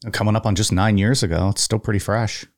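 A man's voice: lowish voice, steady tone, fast. A man co-hosting a podcast in casual chat, teasing the others and keeping the talk moving. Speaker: podcast co-host.

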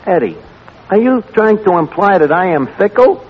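Noisy old radio, brash New York barkeep. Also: male voice, high-pitched, expressive, normal speed. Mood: defensive. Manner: malapropisms, self-important, slangy. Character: brash, lovesick tavern manager who mangles fancy words. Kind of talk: 1940s radio comedy acting. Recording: noisy, muffled 1940s radio broadcast.

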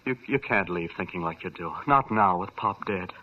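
A man's voice: low voice, lively intonation, normal speed. A man playing a brash young man, hotheaded at first and later shaken and earnest, in an old radio drama. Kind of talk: 1940s radio drama acting. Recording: dull lo-fi old radio recording.

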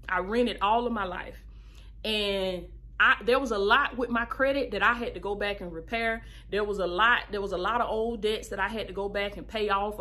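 A woman, a podcast host giving heartfelt life advice in a preachy, animated way, repeating phrases for emphasis and calling listeners baby. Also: a little high. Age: 30s. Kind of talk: casual advice monologue.

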